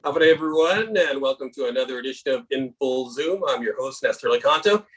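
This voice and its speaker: A man's voice: medium pitch, animated, brisk, fairly bright tone, soft background music, low-quality recording. A man hosting a video-call interview show, friendly and casual, with frequent hesitations. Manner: friendly, many filler uhs. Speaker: host.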